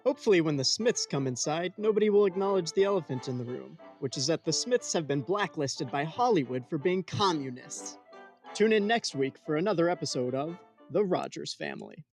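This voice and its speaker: Man as a wry, higher-pitched radio narrator. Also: expressive, brisk, creaky, faint music behind. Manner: announcerly, mock-earnest. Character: wry old-time radio sitcom narrator. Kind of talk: scripted narration, 1940s radio parody.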